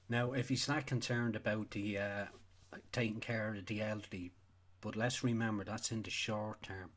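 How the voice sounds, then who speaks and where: An Irish man with a slightly low voice talks off the cuff as the host of a livestream. He is opinionated and blunt, swearing freely. He repeats phrases to drive each point home. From Ireland. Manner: blunt, sweary, emphatic with repetition. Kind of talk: unscripted opinionated rant.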